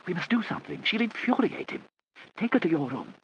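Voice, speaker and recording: A man reading an audiobook, voicing a harried, penniless aristocratic lord who shouts and pleads anxiously. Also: high voice, very animated, fairly quick, vocal fry, highs cut off, a little hiss or hum, voice pushed up, exaggerated voice.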